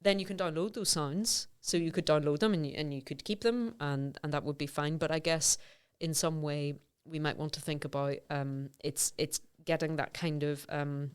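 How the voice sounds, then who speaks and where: Middle-aged woman, academic guest on a podcast: low, smooth voice, thinks aloud, restarts her sentences often. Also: moderate pace, few pauses. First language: English. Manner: thoughtful, many fillers and self-restarts. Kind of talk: conversational interview.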